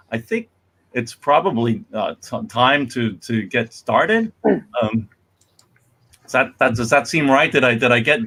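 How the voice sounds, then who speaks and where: Man, mid-range pitch, event host, informal introduction, halting and rambling. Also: a bit bright. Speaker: host, museum executive director.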